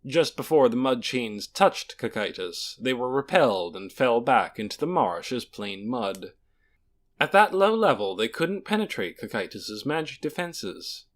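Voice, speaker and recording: Male narrator for fantasy fiction, dramatic scripted read with very lively, varied intonation. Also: mid-range voice, a little slow. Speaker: audiobook narrator.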